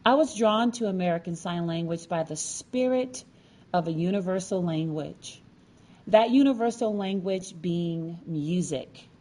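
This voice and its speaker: A woman narrates her own prepared story as a featured storyteller. Her voice sits a little low, and her phrasing is formal and measured. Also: warm tone. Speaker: featured storyteller and sign language educator. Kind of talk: scripted personal narration.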